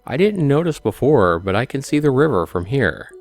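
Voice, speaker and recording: A man's voice: medium pitch, very animated, moderate pace, faint music behind. A man hosting a let's play, reading a visual novel aloud expressively with casual commentary.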